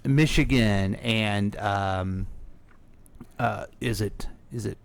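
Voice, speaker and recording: A man's voice: lowish voice, drawn-out words, gravelly. A man hosting a local radio history show in casual, playful chat, leading the questions. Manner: chatty, playful, leads the questions. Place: radio studio. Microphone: studio microphone.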